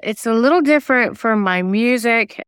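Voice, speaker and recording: A woman's voice: a little high, animated, normal speed, fairly bright tone, clear, resonant tone, no room sound, clean studio sound. A woman giving conversational interview answers in a chatty, self-deprecating way. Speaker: guest singer-songwriter and novelist.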